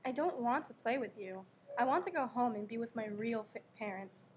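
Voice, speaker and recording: Defiant young girl read by a woman: blunt, stubborn, fairly high voice, stage reading with faint noise. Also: lively intonation, normal speed, lightly acted. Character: defiant young girl resisting a false mother. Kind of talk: readers theater performance. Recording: faint background noise, live ambience.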